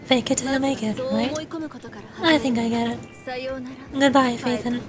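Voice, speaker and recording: A woman voicing a composed, earnest female soldier from a game, measured and polite. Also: on the high side, fairly even intonation, a crowd in the background, close to an everyday voice. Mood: calm, friendly. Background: music.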